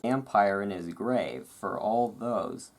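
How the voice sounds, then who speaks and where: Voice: sits low, slow pace. A self-deprecating host chatting casually in a rambling way between bits of reading aloud for an ASMR audience, recorded at home. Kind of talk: casual ASMR chat around a book reading. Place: at home indoors. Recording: noisy room with thunderstorm ambience. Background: thunderstorm ambience, room noise.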